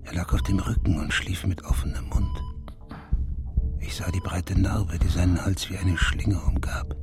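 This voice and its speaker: An actor playing an insistent senior policeman who also narrates a radio thriller, measured and intense. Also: low-pitched, huge pitch swings, in a whisper, music in the background, heavily acted. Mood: hushed, tense. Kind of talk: radio drama dialogue and first-person narration.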